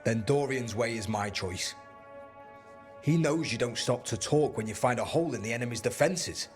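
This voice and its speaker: A man's voice: medium pitch, expressive, normal speed, soft background music, lightly acted. A man playing a blunt, streetwise resistance fighter in a video game, plain-spoken and sardonic. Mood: wry, firm. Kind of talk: video game character dialogue.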